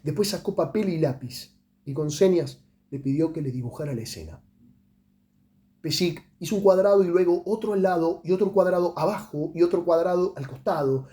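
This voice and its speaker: A man reads a literary short story aloud in a dramatic way, letting phrases trail off into pauses. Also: on the high side, warm tone. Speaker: audiobook reader.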